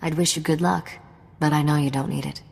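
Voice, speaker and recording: A woman's voice: sits low, steady tone, fairly quick, gravelly, a little mellow, in a large hall. An actress playing a cool, confident young woman in a video game, speaking with assurance. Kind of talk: video game character acting.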